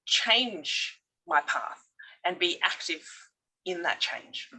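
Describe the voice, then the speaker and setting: A middle-aged woman guest on a podcast, speaking reflectively with frequent pauses and restarts. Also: fairly low pitch, expressive, pushed and bright, rough recording. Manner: hesitant, many fillers and restarts, reflective. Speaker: podcast guest, divorce coach. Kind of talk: casual conversational interview.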